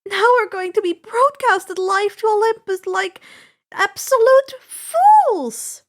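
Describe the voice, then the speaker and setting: A very high, shrill teenage girl adventurer, acted by a woman reader. She cries out in an excitable, dramatic way, swooping up in pitch like a fantasy audiobook heroine in peril. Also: slow pace, exaggerated voice. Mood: furious, dismayed. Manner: shrill, crying out.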